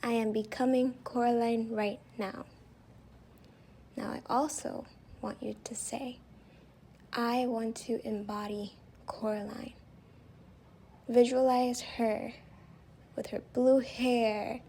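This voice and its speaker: Slow, soft, mellow woman guiding meditation. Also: fairly high pitch, level tone, slightly rough. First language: not English. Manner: gentle, reassuring, unhurried. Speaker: guided meditation host. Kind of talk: soft-spoken guided meditation. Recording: faint background noise, home recording.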